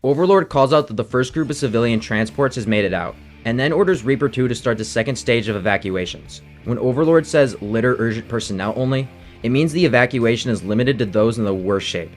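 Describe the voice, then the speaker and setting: A man's voice: mid-range voice, fairly quick, soft background music. A man reading a scripted, analytical video essay narration about a game.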